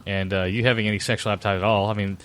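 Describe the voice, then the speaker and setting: A man's voice: on the low side, level tone, rapid-fire, fairly bright tone, clear, resonant tone. Crude joking man co-host. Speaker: podcast co-host. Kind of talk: casual chat.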